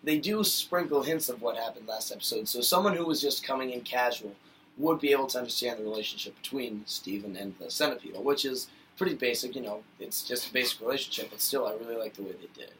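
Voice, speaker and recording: A man rambles through a casual video review, full of fillers and asides, and gets heated when he argues a point. His voice is bright and tense, at middle pitch. He sits a little back from the mic, with a faint hiss underneath. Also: fast talker, far from the mic, poor audio. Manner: rambling and chatty with many fillers, gets worked up. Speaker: YouTube vlogger reviewing cartoon episodes.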